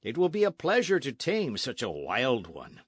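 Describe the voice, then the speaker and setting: A man's voice: mid-range voice, huge pitch swings, normal speed, husky, no room sound, clean studio sound. A man voicing an arrogant Spanish colonial army captain in a storybook, pompous, sneering and insistent. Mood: menacing, gloating. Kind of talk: storybook narration with character voices.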